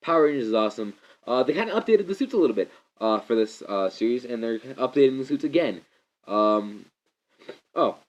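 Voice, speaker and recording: A young man with a stuffy, congested voice gives a rambling, excitable movie review, often restarting his sentences. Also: mid-range voice, a little fast. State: congested from a cold. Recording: slightly muffled lo-fi recording.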